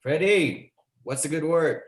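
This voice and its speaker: A man's voice: a little high, expressive, a little slow. Man playing brusque dealmaker, echoey room. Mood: pushy. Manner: curt, casual, impatient. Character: brusque, pushy business dealmaker, impatient man. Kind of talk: screenplay table read.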